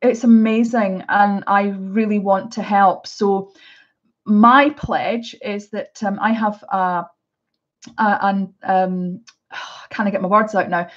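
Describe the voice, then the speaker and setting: A woman's voice: mid-range voice, steady tone, slow, muffled recording. A middle-aged Scottish woman chatting casually through a live unboxing, with many hesitations and restarts. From Scotland. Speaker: art vlogger.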